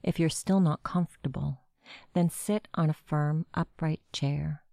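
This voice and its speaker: Woman, meditation guide, mellow tone, very unhurried, gentle instructional delivery, dry recording. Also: low voice, steady tone, creaky, no room sound.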